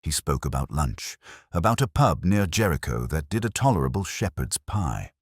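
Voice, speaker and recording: A man playing a melancholy, world-weary English police inspector, narrating his own story in a measured, literary, reflective way. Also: extremely low pitch, very animated, no echo, clean studio sound, heavily acted. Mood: melancholy, solitary. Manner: measured, brooding, literary delivery. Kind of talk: scripted first-person narration, literary detective drama.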